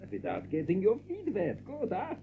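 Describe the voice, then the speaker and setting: Through a noisy, narrow-band recording comes a blustering bird in an audio drama. The pitch leaps wildly and sits fairly high, and the speech is broken English, boastful and easily riled. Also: male voice, wildly swooping pitch, moderate pace, vocal fry, full character voice. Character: excitable gruff foreign-sounding bird, boastful and irritable.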